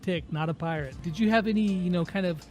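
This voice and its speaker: A woman's voice: lowish voice, fast talker, darkish tone. A woman interviewer chatting casually and putting questions in an informal way. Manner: relays fan questions informally. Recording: music behind the talk.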